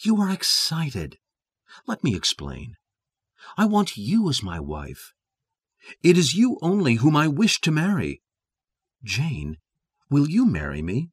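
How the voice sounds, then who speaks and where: Passionate period gentleman, theatrical, slow and deliberate, husky, audio drama. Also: a little high, wildly swooping pitch, no echo, studio quality, exaggerated voice. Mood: ardent pleading. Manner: theatrical, intense, deliberate delivery. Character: brooding, passionate English gentleman in love, master of Thornfield. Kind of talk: dramatized classic novel audio.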